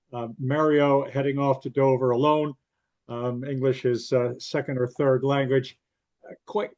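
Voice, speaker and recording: A man's voice: mid-range voice, a little mellow, highs cut off. A man hosting an interview, explaining background to viewers between his questions, with frequent "uh" and "um". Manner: explains context for viewers, filler-laden. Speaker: interview host.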